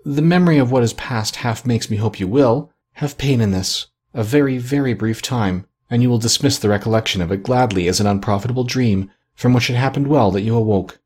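A man voicing a gentle, sorrowful young woman who speaks softly and steadily, in an audiobook reading. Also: mid-range voice, a little fast, hardly any pauses, husky, natural voice. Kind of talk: audiobook character voice.

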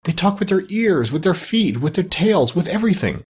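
A man voicing a bossy, proud, clever female pet parrot in a high voice, from a storybook. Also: sing-song, fairly quick, darkish tone, slightly rough, heavily acted. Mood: instructive. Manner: insistent, instructive.

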